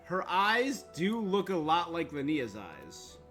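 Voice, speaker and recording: A man's voice: a little high, wildly swooping pitch, slow, bright, forceful tone. A man chatting casually with viewers as a game streamer, in a laid-back, conversational manner. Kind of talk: casual livestream chat with viewers. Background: video game music.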